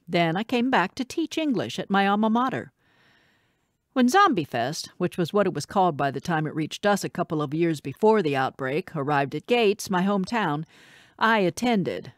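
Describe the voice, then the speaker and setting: Audiobook: woman reader as bookish twenty-something female teacher, wry and candid, animated pitch, dry close sound. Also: no room sound, lightly acted. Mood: wry, matter-of-fact. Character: bookish single schoolteacher in her twenties, wry, candid storyteller. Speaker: audiobook narrator. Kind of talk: scripted audiobook narration.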